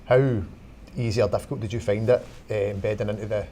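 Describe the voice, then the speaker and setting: A man, a mentor, asking his former mentee questions in a brisk manner. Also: on the low side, steady tone, dark tone, a little hiss or hum.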